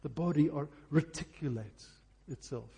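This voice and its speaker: A man's voice: fairly high pitch, normal speed, creaky, mellow, slightly rough. A man preaching a sermon, phrasing emphatically and repeating key words for effect.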